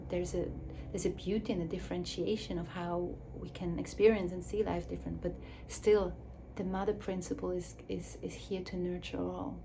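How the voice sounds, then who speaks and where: A woman speaking English as a second language in a slow, searching reflective talk, with restarts and soft drops to a near whisper. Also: fairly low pitch, flat delivery, creaky, a little hiss or hum, poor audio. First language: Swiss German or Dutch. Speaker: speaker on her own channel.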